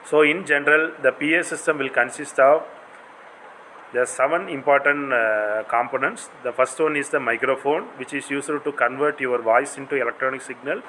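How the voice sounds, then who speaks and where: A man with an Indian accent delivers an explanatory lecture in a bright, forceful voice. He sounds didactic, like a college teacher walking students through material. A faint hum of room noise sits behind him. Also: medium pitch, steady tone, normal speed, poor audio. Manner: didactic, non-native English phrasing.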